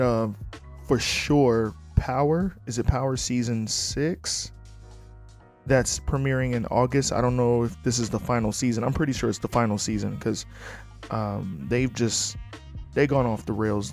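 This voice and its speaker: A man hosting his own podcast in a loose, blunt, joking way, his voice going hoarse. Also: medium pitch, darkish tone. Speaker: podcast host. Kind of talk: casual solo podcast talk.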